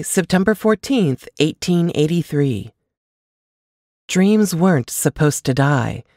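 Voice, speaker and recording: A woman narrates literary fiction in a notably low voice. Her reading is slow and measured, with very lively intonation. It sounds like scripted audiobook work. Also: dry recording, studio quality.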